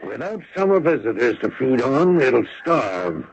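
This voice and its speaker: Insistent, husky-voiced town official. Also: male voice, medium pitch, sing-song, unhurried, full character voice. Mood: pressing. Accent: American. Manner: emphatic, persuasive, clipped. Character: insistent, hard-nosed small-town American official pressuring the sheriff. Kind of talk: old-time radio drama acting. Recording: dull vintage radio broadcast recording.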